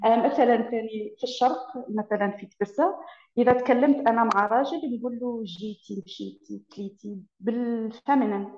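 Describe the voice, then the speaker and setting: A young woman from Eastern Algeria with an Eastern Algerian accent, explaining her dialect in a casual video call chat. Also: a little high. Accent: Eastern Algerian Arabic. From Tebessa, Eastern Algeria. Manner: quick, animated, laughs often. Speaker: interview guest. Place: room with bookshelves, indoors. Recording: roomy, dull video call audio.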